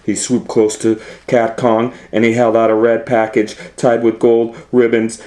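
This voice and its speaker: Slow, theatrical male storybook reader. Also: mid-range voice, monotone, mellow. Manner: theatrical, suspenseful. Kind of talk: dramatic children's storybook narration.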